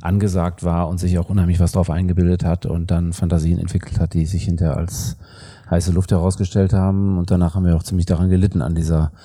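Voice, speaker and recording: A man from the Münsterland, an architect guest in an interview, talking in a halting way with many fillers and restarts. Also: deep voice, flat delivery, mellow, slightly rough. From Münsterland, Germany. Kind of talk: casual interview talk.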